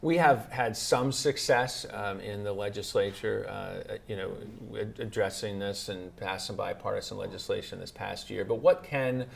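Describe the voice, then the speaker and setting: A man hosting a conversational public-affairs interview, asking questions in a halting, thoughtful way. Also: on the low side, gravelly, slight background noise. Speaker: TV talk show host and interviewer.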